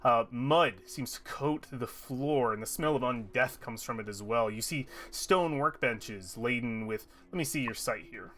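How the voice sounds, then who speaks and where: Bright-voiced rambling game master. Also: male voice, mid-range voice, a little slow, few pauses. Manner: rambling, with many fillers and restarts. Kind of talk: narrating a tabletop game.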